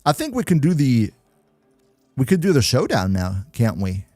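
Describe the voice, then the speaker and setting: A man's voice: mid-range voice, huge pitch swings, moderate pace, darkish tone, dry recording, clean studio sound, soft background music. A young German man with a German accent, hosting a casual gaming livestream, chatting with viewers and reading the chat between laughs. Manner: chatty, reads and answers chat, laughs often. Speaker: livestream host.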